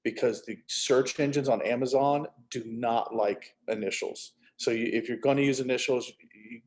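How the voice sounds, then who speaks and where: A man's voice: mid-range voice, steady tone, normal speed, darkish tone, slight background noise. A man giving conversational publishing advice in an emphatic, advisory manner. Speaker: publishing adviser, discussion participant.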